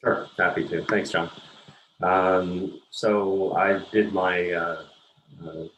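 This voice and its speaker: Older canadian guy, deep flat voice, careful halting explainer in an interview, bit of background noise. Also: dull audio. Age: 50s or older. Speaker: interview guest, pharmaceutical scientist and executive. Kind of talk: measured explanatory interview talk.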